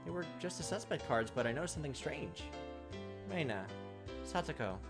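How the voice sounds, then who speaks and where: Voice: medium pitch, very animated, moderate pace. A gaming video host reading visual novel text aloud, dropping in casual, playful asides to the characters. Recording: music behind the voice. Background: game music.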